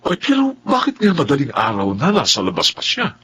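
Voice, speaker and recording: A male actor playing a concerned, prayerful family elder in a Filipino drama, in an animated conversational manner. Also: medium pitch, a little fast. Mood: puzzled concern. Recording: faint hum and noise.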